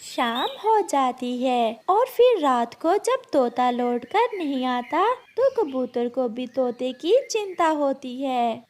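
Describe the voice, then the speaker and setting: High-pitched, slow-paced woman narrating stories. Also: big pitch swings, a little mellow. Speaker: narrator of children's animal stories. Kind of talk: scripted storybook narration.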